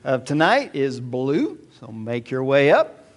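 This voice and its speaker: A man playing an upbeat children's Bible quiz show host, announcing clearly in a teacherly way. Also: mid-range voice, huge pitch swings, slow pace, exaggerated voice. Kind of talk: lively game show hosting.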